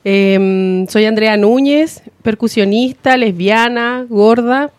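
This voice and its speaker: Woman percussionist, fairly high voice, dry sound, short phrases. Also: slow pace, darkish tone, no echo. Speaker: percussionist guest. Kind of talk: radio self-introduction.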